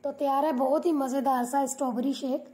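A woman hosting a cooking channel, calmly narrating a recipe step by step as a voice-over. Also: high voice, a little fast. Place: home kitchen.

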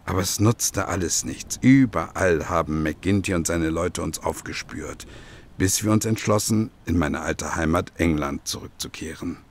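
A man's voice: low voice, moderate pace, slight background noise. A man acting in an audio drama as a weary, hunted but unrepentant husband, earnest and courteous in manner.

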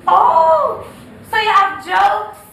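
A woman's voice: extremely high pitch, expressive, very slow, gravelly, fairly bright tone, heavily acted. An actress playing a proud, blunt young woman with a Jamaican Patois accent, challenging and assertive in a stage comedy-drama. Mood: defiant. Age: young adult. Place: indoor theatre stage before an audience. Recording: echoey distant theatre, audience, background noise.

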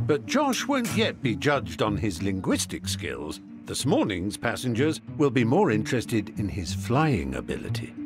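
A man's voice: lowish voice, wildly swooping pitch, a little slow, few pauses. A man narrating a documentary in a scripted voice-over style. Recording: voice-over with music behind.

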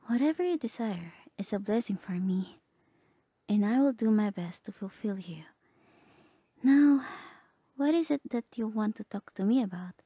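A woman playing a gentle, lovestruck bard companion in a romantic ASMR roleplay, speaking softly and tenderly, often half whispering. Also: mid-range voice, expressive, normal speed, slightly rough, no room sound. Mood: gentle, cheerful. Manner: tender, hesitant, polite.

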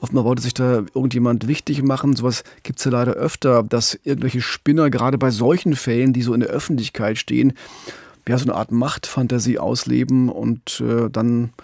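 A man's voice: medium pitch, steady tone, brisk, gravelly, darkish tone, no echo, studio quality. A man with a German accent, co-hosting a podcast and telling a true-crime case in a conversational way. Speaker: podcast co-host.

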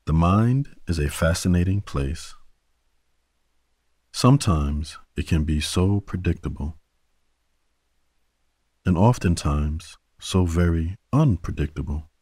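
Very deep, slow male meditation guide. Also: animated, slightly rough, no echo. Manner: gentle, soothing, unhurried delivery with long pauses. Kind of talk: guided sleep meditation narration.

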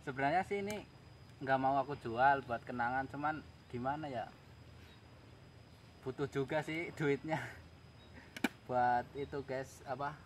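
A man's voice: mid-range voice, measured pace, long pauses. A young man in casual vlog chat, recorded outdoors on a camera a few metres away. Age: young adult. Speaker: vlogger selling his camera gear. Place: outdoors on grass by a rice field. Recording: noisy outdoor camera audio.

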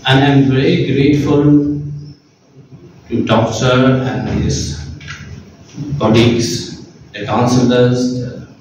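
A man giving an unscripted recovery testimony, hesitant and halting, often repeating words and restarting. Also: mid-range voice, level tone, background noise. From India. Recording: echoey room from far off.

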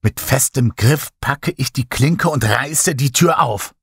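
A man narrating a horror story as a scripted audiobook, in a measured, dramatic storytelling manner. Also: medium pitch, breathy edge, dry recording, clean studio sound.

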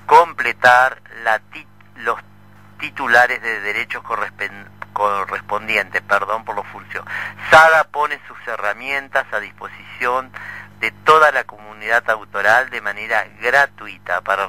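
A middle-aged Argentine man co-hosting a radio show, making announcements in a halting way and often repeating himself. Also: lowish voice, bright, forceful tone, low-quality recording. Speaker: radio co-host.

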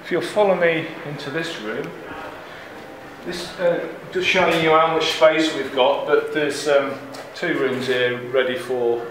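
A middle-aged head brewer giving a walking tour in plain, unhurried speech with fillers, filmed on a camera held close by. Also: male voice, fairly high pitch, steady tone, fairly bright tone. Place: large echoey brewery building, indoors. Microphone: handheld camera close by, following him. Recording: echoey rooms with air-conditioning hum. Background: air-conditioning hum.

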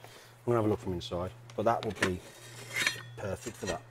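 A man's voice: on the low side, fairly quick, noisy background. A British man giving a casual hands-on how-to commentary, informally thinking aloud as he works. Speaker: DIY vehicle vlogger.

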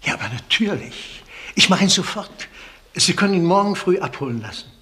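A shifty, obsequious informant in a crime radio drama. The voice is fairly high, rough-edged and bright, with hesitant, deferential phrasing. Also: male voice, big pitch swings, brisk, full character voice. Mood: eager, deferential. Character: nervous, obsequious male informant and would-be blackmailer. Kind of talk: German crime radio play acting.

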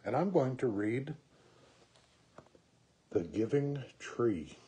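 Storyteller, male, 60s. Unhurried, deliberate read-aloud with a wide swing in pitch, some background noise. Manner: slow, deliberate, expressive phrasing. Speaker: storyteller reading a picture book. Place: small room at home, indoors.

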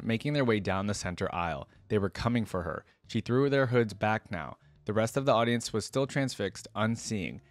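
A man's voice: on the low side, no echo. A young man, reading a story aloud and adding casual reactions, recorded indoors on a close desk mic. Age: young adult. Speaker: reaction video host. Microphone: close desk microphone, with headset worn.

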